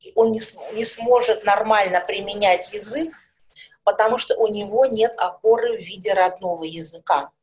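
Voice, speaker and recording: A middle-aged woman, a speech therapist, calmly explaining things with everyday examples in an interview. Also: medium pitch, normal speed, muffled recording. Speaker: speech therapist and psychologist guest.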